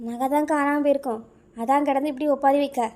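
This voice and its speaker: A woman's voice: high-pitched, steady tone, fast talker, darkish tone, natural voice. A woman playing a spiteful, gossipy village neighbour in a comic cartoon, carping in a southern Tamil Nadu accent. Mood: spiteful gossip.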